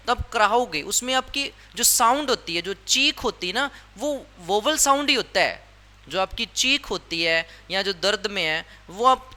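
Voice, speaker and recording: A man's voice: high voice, bright, forceful tone. A male English grammar teacher explaining a lesson step by step, repeating his points and often asking the class questions.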